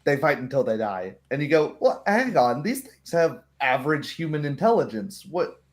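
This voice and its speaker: A man's voice: fairly high pitch, sing-song, normal speed. A man speaking as a game master in a casual group chat about a role-playing game.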